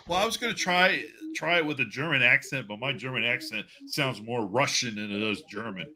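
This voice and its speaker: Bright, forceful man hosting a livestream. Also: mid-range voice, normal speed. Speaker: livestream host. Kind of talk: casual livestream chat. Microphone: video call stream.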